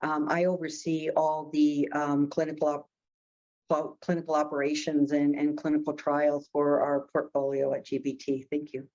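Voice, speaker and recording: Low, even-toned businesswoman. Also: moderate pace. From Canada. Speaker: pharma executive. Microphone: video call. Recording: dull video call audio.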